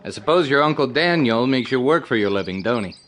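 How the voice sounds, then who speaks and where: An actor playing a wheedling, folksy circus candy seller in an audio drama, with a self-justifying, rustic way of talking. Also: male voice, mid-range voice, lively intonation, fairly quick, fairly bright tone, lightly acted. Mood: folksy, sly.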